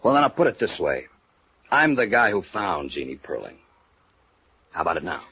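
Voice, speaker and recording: Low husky tough guy. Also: sing-song, normal speed, full character voice. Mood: wry, matter-of-fact. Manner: casual, streetwise slang. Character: slangy, easygoing tough male private detective agency operative. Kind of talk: 1950s radio noir acting. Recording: muffled vintage radio drama recording.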